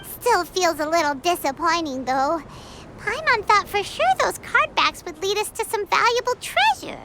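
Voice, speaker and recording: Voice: very high voice, expressive, moderate pace. An actress voicing a tiny, chirpy, childlike female companion who talks about herself in the third person, for a game cutscene. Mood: musing. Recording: game audio with loud background noise.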